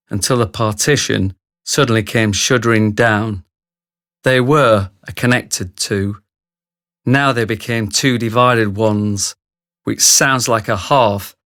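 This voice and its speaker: A man narrating a radio drama as a scripted story, in a measured, literary manner. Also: fairly low pitch, a bit bright, no echo, studio quality.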